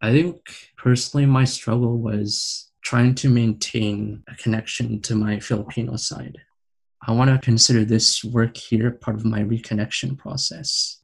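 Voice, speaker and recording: Panel guest, young Canadian man, gravelly and raspy, measured. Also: medium pitch, fairly even intonation, rough recording. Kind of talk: reflective personal sharing in a panel discussion.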